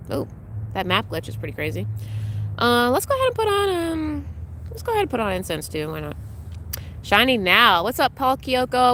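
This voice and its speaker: A young woman hosts a live game stream, chatting loosely and greeting her viewers one by one. Her voice sounds a little congested and she fills pauses with ums. A faint hum sits behind her voice. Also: a little high, fairly bright tone. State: congested, recovering from illness. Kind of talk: casual live-stream chat.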